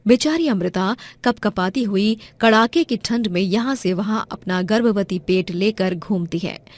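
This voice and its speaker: A woman's voice: medium pitch, a little slow. A woman narrator reading a scripted moral story.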